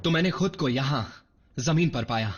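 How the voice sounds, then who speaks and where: A man voicing a cocky, eager young robot soldier, brash and earnest, in an animated series dub. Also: mid-range voice, moderate pace, background noise, close to an everyday voice. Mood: storytelling. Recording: dull lo-fi dub under loud music.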